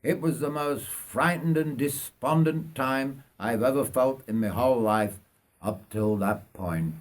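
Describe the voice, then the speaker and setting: Older man from Yorkshire, measured storytelling. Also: mid-range voice. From North Yorkshire, England. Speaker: writer reading own life story. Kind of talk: narrated autobiographical story.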